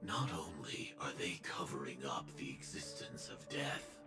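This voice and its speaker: A very deep, grim armored killer. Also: male voice, sing-song, heavily acted. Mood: cold, guarded. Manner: slow, terse, solemn delivery. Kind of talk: scripted video game dialogue. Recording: game music behind the voice.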